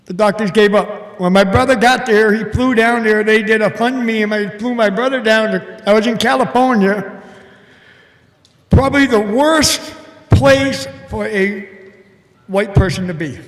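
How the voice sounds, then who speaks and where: Older man, very high voice, booming, earnest and halting, church testimony, roomy hall echo. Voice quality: big, booming voice. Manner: earnest, halting, emotional storytelling. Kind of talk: personal testimony to a congregation. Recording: echoey hall with long reverb.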